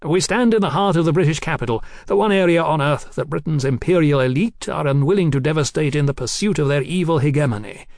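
Man putting on a high, haughty young revolutionary zealot. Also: quick pace, vocal fry, hardly any pauses, darkish tone, no room sound. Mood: zealous. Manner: declamatory, oratorical, speechifying. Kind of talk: audiobook character acting.

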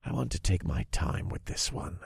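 A man voicing a smug, cruel dark lord in an audiobook, with a deliberate, growling, sneering manner. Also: low-pitched, lively intonation, no echo, clean studio sound. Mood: cold relish. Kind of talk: audiobook character voice.

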